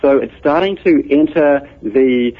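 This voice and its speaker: A man's voice: medium pitch, measured pace. A man with a New Zealand accent, a computer scientist patiently and methodically explaining ideas as a guest in an interview. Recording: muffled radio broadcast audio.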